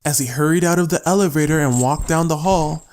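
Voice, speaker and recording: Male audiobook narrator, scripted storytelling, moderately high pitch. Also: fairly quick, darkish tone.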